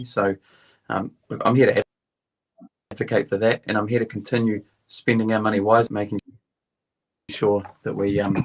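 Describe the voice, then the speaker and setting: A man's voice: fairly low pitch, background noise. A man giving a plain-spoken, practical campaign closing statement as a council candidate.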